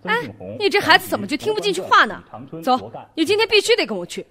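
A woman's voice: extremely high pitch, very animated, fast talker, pushed and bright, full character voice. A woman playing a domineering, mercenary stepmother who scolds and sneers in an audio drama. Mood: scolding. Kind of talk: Chinese audio drama acting.

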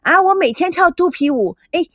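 A woman's voice: very high voice, lively intonation, normal speed, dry recording, clean studio sound, voice pushed up. A woman voicing a vain, bubbly, coquettish woman in an audiobook, cutesy and boastful. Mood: coquettish boasting.

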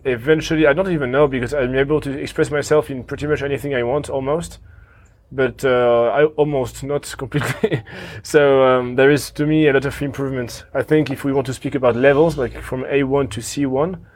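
A man whose first language is French, a guest on a casual podcast, speaking thoughtfully and pausing on fillers and restarts. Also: mid-range voice, fairly even intonation. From France. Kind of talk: casual conversational interview.

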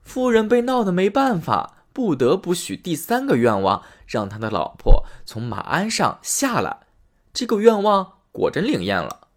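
A man narrating a fairy tale for a storytelling podcast, lively and expressive. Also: sits high, normal speed.

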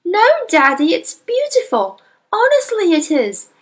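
A woman voicing a bright, bookish little girl who speaks in a sweet, pleading, high voice. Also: huge pitch swings, measured pace, full character voice.

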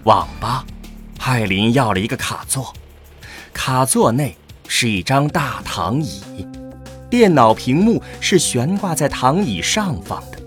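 A man's voice: medium pitch, very animated, a little slow, soft background music. A man narrating a scripted science-fiction radio drama.

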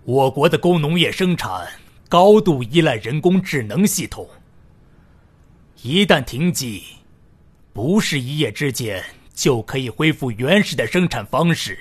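A man's voice: a little high, lively intonation, measured pace, breathy edge. A man playing a worried, cautious diplomat speaking formally in an audio drama. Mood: concerned, cautious.

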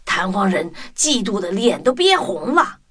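A woman narrating a scripted children's story in an expressive storytelling manner. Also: mid-range voice, a little slow, faint music behind.

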